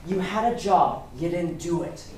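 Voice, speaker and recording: A woman's voice: on the low side, a little slow, close to an everyday voice. Woman acting a curt loan shark in an echoey room. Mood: cold, threatening. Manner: curt, menacing. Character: shady, controlling loan shark named Raymond, coldly threatening. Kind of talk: amateur stage play acting. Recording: echoey stage recording with faint noise.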